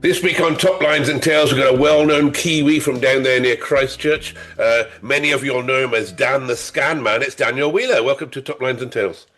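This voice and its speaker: Male host with a cold, mid-pitched, chatty, quick to joke. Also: a bit bright, soft background music. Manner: chatty and jokey, laughs often. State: has a head cold, sniffly. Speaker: podcast host. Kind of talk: casual interview.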